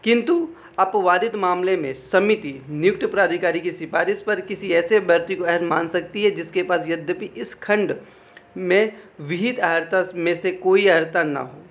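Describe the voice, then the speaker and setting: A man's voice: sits high, normal speed, a bit bright. A man giving an informal explainer, reading out official text and commenting, often repeating phrases and adding check-in tags. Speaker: exam information presenter.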